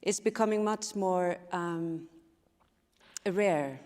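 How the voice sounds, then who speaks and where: A woman from Iceland whose first language is Icelandic, giving a reflective conference talk at a measured pace with pauses. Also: lowish voice, a little mellow. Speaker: conference speaker. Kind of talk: live design talk. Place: large event hall. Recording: long reverb of a large hall.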